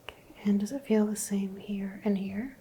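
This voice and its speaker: Woman, ASMR doctor roleplay, gentle whisper, mellow tone, faint background hiss. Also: mid-range voice, normal speed. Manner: soft whispering, gentle step-by-step instructions. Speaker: ASMR creator playing a doctor.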